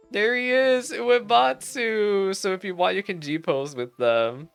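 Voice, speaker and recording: Excitable game streamer over music. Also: medium pitch, slow, smooth. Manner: excitable, exclaiming and rambling. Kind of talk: reading game dialogue aloud with excited live reactions. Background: video game music.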